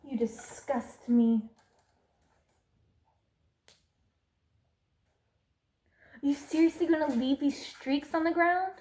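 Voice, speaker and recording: Evil queen, acted by a woman, ASMR roleplay: scornful, commanding, slightly high yet soft-toned, drifting into whispers. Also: moderate pace, reverberant, slight background noise, natural voice. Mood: contemptuous, menacing. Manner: imperious, scornful, menacing, breaking into whispers. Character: cruel, haughty evil queen bullying a peasant.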